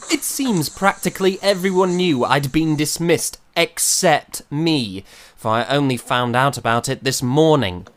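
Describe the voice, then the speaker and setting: An actor playing a proud, wordy young composer in a period audio drama, with formal old-fashioned diction and a theatrical way of telling things. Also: male voice, a little high, lively intonation, measured pace, fairly bright tone. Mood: wry, dramatic. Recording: music behind the voice.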